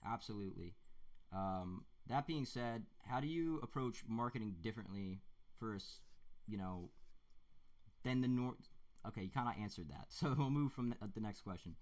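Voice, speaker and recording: Laid-back low-voiced man hosting a stream. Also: animated, moderate pace, darkish tone. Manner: laid-back, reads chat aloud. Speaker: stream host. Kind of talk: casual stream interview.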